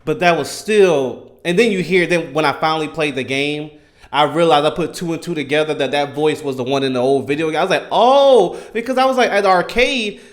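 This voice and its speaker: A man in his thirties giving casual, enthusiastic fan commentary in an animated, emphatic, slangy way. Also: on the high side, brisk. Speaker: gaming content creator.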